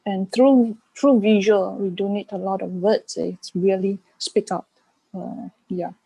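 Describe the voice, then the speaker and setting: A woman giving reflective answers as an interview guest, haltingly searching for her words. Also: medium pitch, fairly even intonation, creaky, dark tone, slightly rough, no echo, low-quality recording. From Malaysia. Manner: halting, searching for words in non-native English. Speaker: art curator and panel guest.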